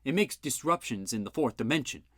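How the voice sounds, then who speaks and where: Blustering old inventor as a man puts him on, loud, grumbling, swinging pitch, dry close sound. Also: lively intonation, brisk, no room sound. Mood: gruff.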